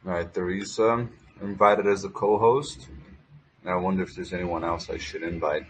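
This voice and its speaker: A man's voice: low voice, fairly even intonation, moderate pace, a little hiss or hum. A man hosting a casual live audio chat, laid-back and joking with slang. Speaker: host.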